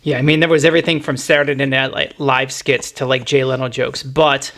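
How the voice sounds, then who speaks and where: A man's voice: mid-range voice, level tone, fairly quick, fairly bright tone. A middle-aged man hosting a music podcast, talking casually and explaining things in a reflective way.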